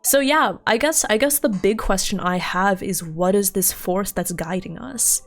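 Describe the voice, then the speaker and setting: A young woman chatting casually with her stream viewers while playing a game, laughing often and thinking out loud. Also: mid-range voice, a little slow. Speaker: livestreamer. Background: faint game music.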